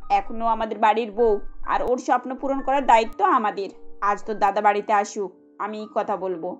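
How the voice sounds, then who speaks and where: A woman voicing a caring middle-aged mother-in-law in a cartoon, motherly and gently insistent. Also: medium pitch, steady tone, moderate pace, muffled recording, soft background music, pitched below the performer's own voice, close to an everyday voice. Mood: determined.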